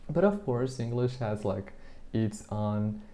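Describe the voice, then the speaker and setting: A man's voice: on the low side, animated, takes it slowly, mellow. A man whose first language is Portuguese, chatting in English as the interviewer, talking on and on while filling his sentences with "like" and small restarts. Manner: chatty, hesitant, leans heavily on the filler "like". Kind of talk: casual street conversation.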